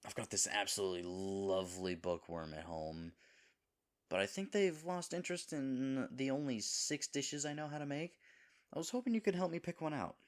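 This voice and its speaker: A man's voice: mid-range voice, expressive, moderate pace, lightly acted. A man playing a devoted, goofy boyfriend nervous about proposing, rambling and chiding himself earnestly in a scripted romantic audio roleplay. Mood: playful bluffing.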